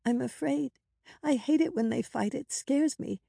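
A woman acts out a little girl of eight in a story. The voice is small and lifted high, innocent and eager, quick to take fright. It sits close and dry. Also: gravelly, mellow, no room sound, full character voice. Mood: frightened. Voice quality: small, childlike voice.

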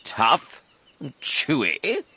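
A man voicing a huge, boastful, scheming crocodile in a children's story, savouring his words in a gloating, drawn-out way. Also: medium pitch, sing-song, fairly bright tone, no echo, voice pushed up, exaggerated voice. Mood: mocking, goading. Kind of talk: children's storybook character reading.

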